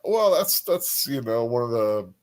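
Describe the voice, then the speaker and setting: A man with an average-pitched voice co-hosts a casual discussion. He talks informally and loosely, working out his thoughts aloud as he goes. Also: sing-song, a little slow, a little mellow. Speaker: co-host. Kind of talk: casual chat about books.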